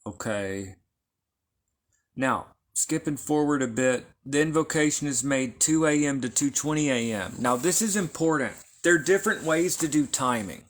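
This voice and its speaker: A man giving an informal lecture on esoteric lore, explaining carefully and checking in with his listeners. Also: mid-range voice. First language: not Hebrew. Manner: unhurried, explains by repeating and checking with "okay?". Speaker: esoteric teacher.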